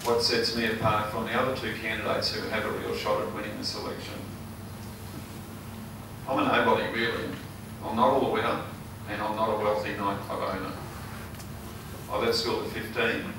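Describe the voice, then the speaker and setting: An older Australian man with a hoarse, failing voice, giving an earnest campaign speech full of ums and uhs. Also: fairly low pitch, little pitch movement, moderate pace. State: hoarse, losing his voice. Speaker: election candidate. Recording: echoey hall, mic far off, noisy.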